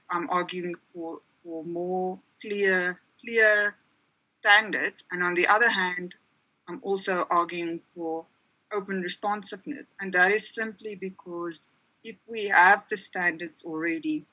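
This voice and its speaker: A woman presents her argument to an academic panel, leaning on key words as she goes. Her voice sits a little low and has a bright, forceful edge. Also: poor audio. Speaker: panel speaker.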